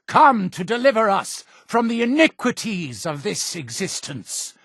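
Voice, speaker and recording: Fanatical high priest, game character, gravelly creak, widely swinging intonation, grandiose sermonizing, dry close sound. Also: male voice, pushed and bright, no echo, exaggerated voice. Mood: fervent, exalted. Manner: grandiose, preaching, formal. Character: zealous cult high priest, solemn and fervent. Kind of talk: scripted video game character dialogue.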